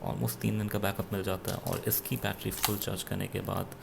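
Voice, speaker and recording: A man with an Indian accent giving an unscripted gadget review in a halting, self-correcting way, switching between two languages. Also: fairly low pitch, flat delivery, creaky, heavy background noise. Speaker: product reviewer. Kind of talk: unscripted gadget review, mixing Hindi with English.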